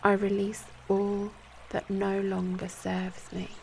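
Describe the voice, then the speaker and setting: A woman leads a guided relaxation in a flat, soothing tone with noticeable creak in her voice. She gives short, calm instructions at a very slow pace, leaving space between them. Soft trickling water runs behind her. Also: mid-range voice, slight background noise. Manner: calm, soothing, measured instruction with long pauses. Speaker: yoga nidra guide. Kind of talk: guided meditation.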